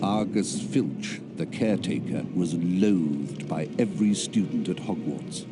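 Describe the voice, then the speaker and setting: A man's voice: low voice, unhurried. A man narrating a story for a video game in a scripted storyteller style. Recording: loud music behind voice. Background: loud background music.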